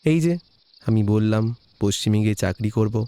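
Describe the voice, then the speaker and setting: A man playing a warm, reassuring young husband. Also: on the low side, moderate pace, slight background noise, natural voice. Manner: calm, soothing reassurance.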